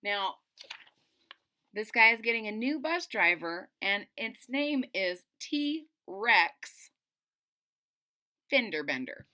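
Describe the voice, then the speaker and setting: A woman's voice: on the high side, big pitch swings, slow, long pauses, pushed and bright. A middle-aged woman reading a picture book aloud to children, expressively, with explanatory asides and dramatic emphasis. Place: small indoor room at home.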